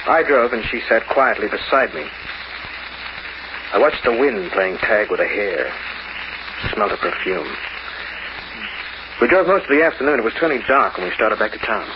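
An actor playing a cool, scheming young crook in 1940s radio noir style, clipped and tough. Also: male voice, on the low side, big pitch swings, normal speed, pauses often. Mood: wry, narrating. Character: scheming, cool, hard-boiled young male lover and crook. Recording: muffled, noisy old radio recording.

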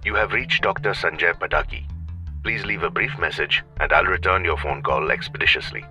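A formal, precise doctor's voicemail greeting, acted by a man. The voice is fairly low, with clear music behind it. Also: a little fast, close to an everyday voice. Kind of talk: recorded voicemail greeting in audio drama.

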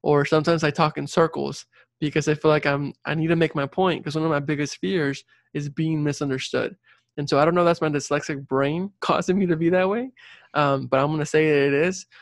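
A man's voice: a little high, steady tone, a little fast, dry recording, clean studio sound. A young man whose first language is Spanish, talking casually and earnestly as an interview guest, repeating points for emphasis. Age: late 20s or 30s. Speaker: interview guest, civil engineer and career coach.

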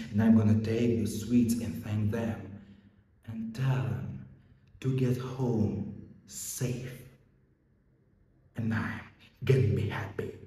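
A man acting a vengeful, self-righteous police interrogator in an intense theatrical monologue, shifting between hushed menace and outbursts. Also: fairly low pitch. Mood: quiet self-righteous. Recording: echoey hall, long reverb, background noise.

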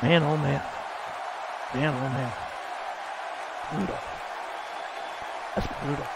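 A man commentating his own wrestling video game match in loud, excitable play-by-play, exclaiming and swearing at the big moves. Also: fairly high pitch, takes it slowly, creaky. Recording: loud game crowd and noise behind.

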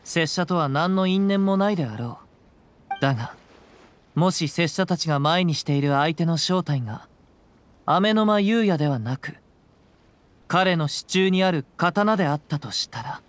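Man playing a lightly high-voiced, calm young samurai. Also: lightly acted. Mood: grave, perceptive. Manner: measured, archaic samurai speech. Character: calm young wandering samurai, composed and perceptive. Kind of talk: Japanese game voice acting.

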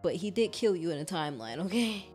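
A woman doing a let's play, reading a visual novel aloud and exclaiming expressive reactions. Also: on the low side, quick pace. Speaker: gaming streamer. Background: game music.

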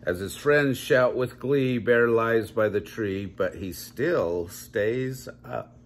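An older man reading a children's story aloud at home in measured, drawn-out storybook phrasing. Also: medium pitch, a little hiss or hum. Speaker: grandpa reading a picture book aloud. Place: small home room, indoors.

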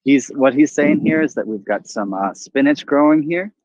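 A man's voice: mid-range voice, expressive, moderate pace, a little mellow, dry recording, muffled recording. A young man, a chef giving an easygoing cooking demo outdoors in a garden. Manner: easygoing explaining.

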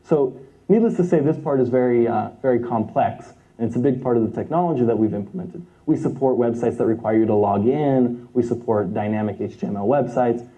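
A young man at mid pitch gives a conference demo, walking the audience through each step and checking in with "okay?" along the way. The lapel-mic recording sounds a little dull and lo-fi. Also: a little fast, warm tone. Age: young adult. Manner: explanatory, often checks in with "okay?" and "right?". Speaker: conference speaker. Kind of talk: technical conference talk with live demo. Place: large conference hall stage with projector.

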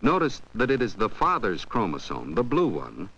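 Deep, expressive male narrator. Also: breathy edge. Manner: measured, explanatory, poses questions to the viewer. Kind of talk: scripted educational film narration. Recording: dull 1970s 16mm film soundtrack.

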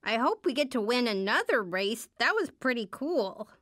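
Game role: shy teen superhero girl, higher-pitched, very animated melody, understated and earnest. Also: moderate pace, dry recording, clean studio sound. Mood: shy, friendly. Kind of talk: video game character voice lines.

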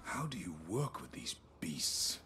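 An actor playing a resolute, stern male soldier in a dramatic video game, speaking in a measured, dry manner. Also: low voice, big pitch swings, noisy background. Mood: dry, low-key. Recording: clear music behind.

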